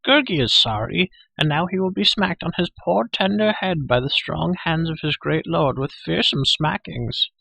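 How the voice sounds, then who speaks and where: A man's voice: a little high, lively intonation, moderate pace, creaky, few pauses, bright, forceful tone, husky, no room sound, pitched above the performer's own voice, exaggerated voice, poor audio. A man voicing a hairy, half-animal storybook creature who wails, pleads and fawns hungrily. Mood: wailing, pitiful. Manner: wailing, pleading, fawning, speaks of himself in third person. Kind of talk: storybook character voice.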